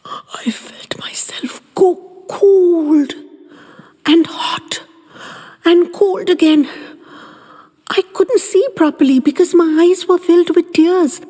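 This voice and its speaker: A woman reading first-person audio fiction in an expressive, measured, literary way with deliberate pauses. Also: very high voice, in a large hall. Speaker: storyteller, podcast narrator.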